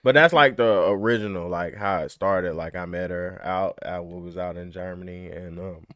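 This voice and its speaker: A man from Georgia, a former soldier chatting as an interview guest, rambling with many fillers and pauses. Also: deep voice, fairly bright tone, dry recording. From Georgia, USA. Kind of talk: casual interview chat.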